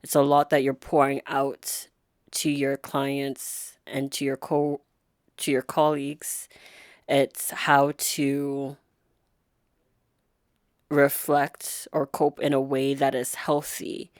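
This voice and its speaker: Low, slow-speaking woman guest. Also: little pitch movement, dry recording, clean studio sound. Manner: soft-spoken, thoughtful, with frequent pauses and fillers. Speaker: guest, nurse. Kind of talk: reflective interview conversation.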